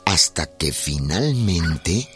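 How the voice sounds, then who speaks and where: A man's voice: low-pitched, big pitch swings, slow pace, fairly bright tone, soft background music, heavily acted. A man narrating a revolutionary tale in a radio drama, solemn and scripted.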